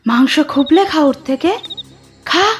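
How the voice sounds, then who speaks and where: Sinister ghoul aunt for horror audio drama, played by a woman: high, slow, coaxing and commanding, faint music behind. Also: lively intonation. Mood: menacing coaxing. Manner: menacing, coaxing, drawn-out repeated commands. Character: sinister aunt turned ghoul, coaxing boy to eat flesh.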